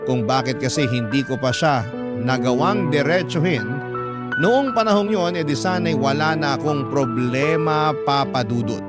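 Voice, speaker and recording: A man's voice: mid-range voice, normal speed. A man narrating a listener's love story as a radio host, in a dramatic scripted reading style. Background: loud background music.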